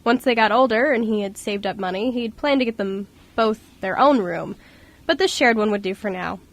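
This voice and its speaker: A woman's voice: medium pitch, moderate pace. A woman reading scripted storybook narration as the narrator of an amateur radio drama.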